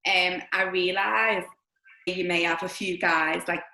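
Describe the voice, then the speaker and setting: A woman from Liverpool on a podcast panel, telling about her own experience in a measured way with filler pauses. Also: on the low side, steady tone, creaky, bright, forceful tone, reverberant, rough recording. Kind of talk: casual storytelling.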